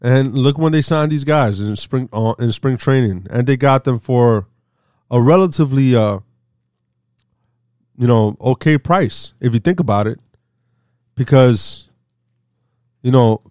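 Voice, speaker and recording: A man's voice: medium pitch, a little slow, long pauses, a little mellow, clear, resonant tone, no echo, clean studio sound. A man in his forties talking baseball in a casual, rambling way as a co-host. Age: 40s. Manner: rambling, chatty, laughs easily. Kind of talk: casual sports talk.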